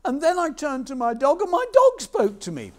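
A man voicing a panicky, insistent and excitable farmer in a told folk tale. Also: extremely high pitch, moderate pace, pitched above the performer's own voice, full character voice. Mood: breathless panic.

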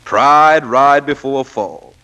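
An actor playing a humble, chatty night janitor in a 1940s radio play, with folksy, deferential speech. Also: male voice, medium pitch, slow, sharp tone, smooth. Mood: folksy, wistful. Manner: folksy, uneducated grammar, deferential. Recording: vintage 1940s radio broadcast.

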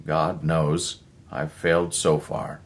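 A man reading as a grim, deep-voiced scientist. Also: little pitch movement, slow pace. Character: grim male scientist explaining a disaster.

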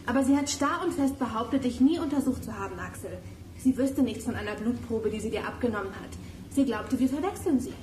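A woman's voice: a little high, expressive, fairly quick, a little mellow, lightly acted. A woman playing a sensible, analytical girl detective in a children's audio drama, matter-of-fact and decisive. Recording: echoey room, faint noise.

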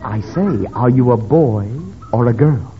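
An actor playing a self-important, formal doctor questioning patients in a 1930s radio comedy. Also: male voice, medium pitch, expressive, a little slow. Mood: probing. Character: self-important, patient but easily interrupted psychoanalyst doctor. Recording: muffled, hissy 1930s radio recording.

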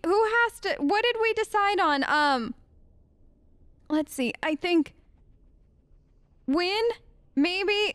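A woman's voice: sits high, fairly bright tone, dry recording. A woman doing casual, chatty role-play game commentary with a playful, wry manner. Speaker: gamer, let's player.

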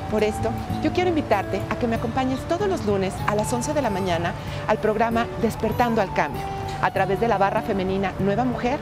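A woman in her forties reading a scripted show promo outdoors, in an inviting, smooth way. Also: mid-range voice, a little fast. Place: outdoors by bushes. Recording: loud music under the voice.

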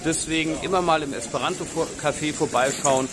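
A man's voice: fairly high pitch, brisk, background noise. A middle-aged man vlogging to a selfie camera at arm's length, chatty and upbeat, speaking straight to his viewers. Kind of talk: casual vlog commentary to camera. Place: outdoors and inside a busy café. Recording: crowd chatter behind.

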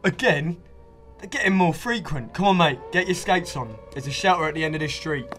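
An actor playing a slangy, defiant British newspaper reporter in wartime London, in a radio drama. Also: male voice, on the high side, a little fast, natural voice. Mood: urgent. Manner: chummy, slangy. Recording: music behind the voice.

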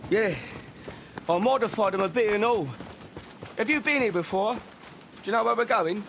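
Surly high-voiced young London convict. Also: male voice, normal speed, creaky, fairly bright tone, a little hiss or hum. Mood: wary. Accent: English, London. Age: young adult. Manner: surly, blunt. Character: cocky, grumbling young British convict turned commando. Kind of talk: video game cutscene acting.

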